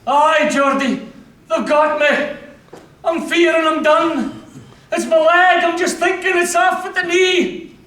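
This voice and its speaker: A man voicing a wounded soldier, high and urgent. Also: fairly even intonation, slow, bright, forceful tone, exaggerated voice. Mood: pained. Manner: urgent, raised voice. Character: wounded Scottish soldier, young man, stubborn and loyal. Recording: roomy, distant mic.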